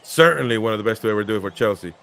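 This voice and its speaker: A man's voice: on the low side, lively intonation, very fast, fairly bright tone. Host: Peru-born man, casual live match chat, reads comments from viewers.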